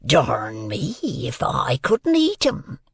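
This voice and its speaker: A man voicing a menacing, ragged convict in a storybook, with slow, drawn-out rustic threats. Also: medium pitch, wildly swooping pitch, creaky, fairly bright tone, breathy edge, no room sound, studio quality, heavily acted. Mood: hungry, threatening.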